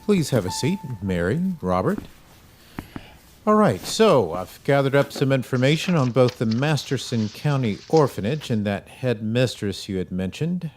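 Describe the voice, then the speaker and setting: An actor playing a businesslike lawyer who lays out case details in a measured way. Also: male voice, medium pitch, expressive, slight background noise, close to an everyday voice. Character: calm lawyer advising a couple.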